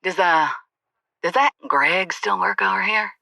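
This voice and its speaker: A bright, creaky voice with a dry, close sound, a performer acting as a coy caller on a comedy phone-in. The delivery is teasing and suggestive, as if sweet-talking an old flame. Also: medium pitch, measured pace, no echo, full character voice.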